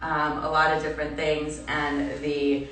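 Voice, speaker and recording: A woman from Belgium in her forties chatting casually on a livestream as an artist, with a halting manner and trailing restarts. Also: deep voice, completely flat delivery, a bit bright, slight background noise. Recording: echoey room, distant mic, music behind.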